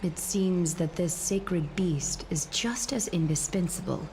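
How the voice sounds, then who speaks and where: Low-voiced, solemn regal leader. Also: female voice, a little mellow, slight background noise. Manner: formal, solemn, deliberate. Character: stern, regal female leader, resolute and measured. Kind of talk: dramatic fantasy game voice acting. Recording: game music behind voice.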